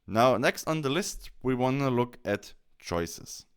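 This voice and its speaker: A man presenting a software tutorial, explaining things step by step and often correcting himself as he goes. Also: mid-range voice, lively intonation, slow pace, clean tone.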